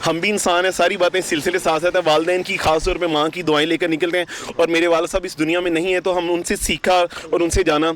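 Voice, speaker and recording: A man's voice: on the high side, little pitch movement, fast, hardly any pauses, a bit bright. A young man with a Pakistani accent answering interview questions as a volunteer organiser, earnest and fluent with pious phrasing. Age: young adult. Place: outdoors beside a city park. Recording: outdoor street noise, passing vehicles.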